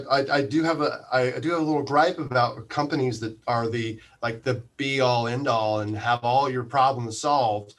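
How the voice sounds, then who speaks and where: A man speaking as an interview guest, explaining things casually in a halting, thoughtful way. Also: mid-range voice. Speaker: interview guest, community radio manager.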